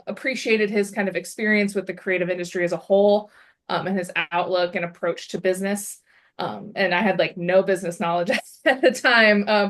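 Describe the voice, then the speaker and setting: Woman, lowish voice, casual hesitant co-host on a video call. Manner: relaxed, many fillers, hesitant. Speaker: illustrator and designer, co-host. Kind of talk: casual self-introduction.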